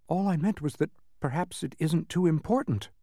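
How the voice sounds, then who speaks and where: Young boy voiced by a male narrator: mild, earnest, well-mannered, lifted pitch, storybook style, dry recording. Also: wildly swooping pitch, moderate pace, a little mellow, no room sound. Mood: hesitant. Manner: polite, earnest.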